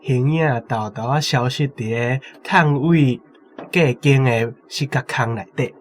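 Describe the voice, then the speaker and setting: A man's voice: mid-range voice, unhurried, a little hiss or hum. Young Taiwanese man working out translations. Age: young adult. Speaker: student quiz contestant.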